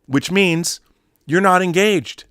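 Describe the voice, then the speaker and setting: A man's voice: high voice, slow pace, a bit bright, no echo, studio quality. A middle-aged American man giving casual, opinionated commentary as a show host, rambling and emphatic, with some swearing.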